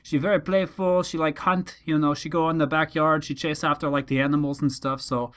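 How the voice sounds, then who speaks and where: Man putting on a high-pitched naive husband. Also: little pitch movement, clear, resonant tone, lightly acted. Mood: naive, earnest. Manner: halting, repetitive, mock-innocent questioning. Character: foreign husband, naive new cat owner with broken English. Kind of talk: prank phone call.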